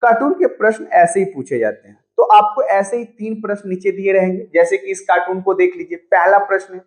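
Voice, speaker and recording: A man's voice: high voice, a little fast. A man teaching an informal exam-prep lesson online, chatty and encouraging, repeating phrases for emphasis.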